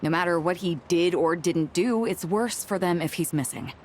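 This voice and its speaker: A woman acting a composed, shrewd, commanding woman in a video game, with a cool, self-assured and measured manner. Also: lowish voice, slight background noise, natural voice. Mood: firm, assured.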